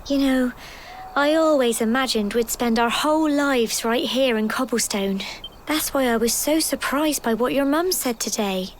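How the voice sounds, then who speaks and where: An actress playing a gentle, wistful young village girl in a video game, earnest and soft-hearted, with an English accent. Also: high voice, normal speed, slight background noise, natural voice. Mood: nostalgic.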